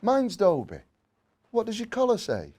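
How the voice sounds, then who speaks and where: Man as an eager, high-pitched friendly dog. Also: wildly swooping pitch, moderate pace, a little mellow, full character voice. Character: friendly excitable pet dog, kind and eager.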